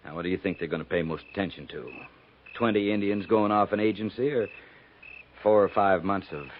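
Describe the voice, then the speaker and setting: A man's voice: sits low, brisk, natural voice. A man playing a brooding, plainspoken frontier Indian agent in a 1950s radio western, with a folksy, ominous delivery. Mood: wry, concerned. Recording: dull, noisy 1950s radio recording.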